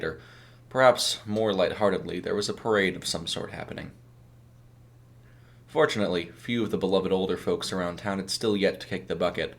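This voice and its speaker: A man reading a scripted short story as a dramatic narrator. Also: sits low.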